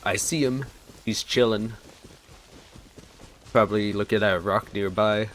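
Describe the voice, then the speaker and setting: A man's voice: lowish voice, steady tone, unhurried, a bit bright, slight background noise. A man giving casual let's play commentary as a streamer, sometimes reading game text aloud. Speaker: gaming streamer.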